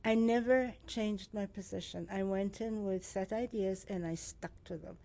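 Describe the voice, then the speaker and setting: A woman talks as a radio guest with emphatic, animated energy, laughing between points. She recounts her board experience in a mid-pitched, everyday voice. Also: normal speed, creaky. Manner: emphatic, animated storyteller who laughs often. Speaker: former homeowners association board member. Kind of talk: conversational radio interview.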